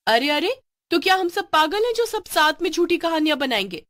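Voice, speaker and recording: A woman's voice: very high-pitched, big pitch swings, brisk, fairly bright tone, voice pushed up, heavily acted. A woman voicing an indignant, argumentative villager in a storybook tale. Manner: heated, argumentative. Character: indignant villager insisting the ghost was real.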